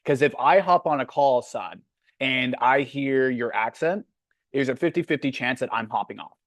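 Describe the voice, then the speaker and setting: A man's voice: mid-range voice, normal speed, no room sound. A man with a Canadian accent, a sales coach hosting a community Q&A call, talking bluntly and frankly, with plenty of fillers.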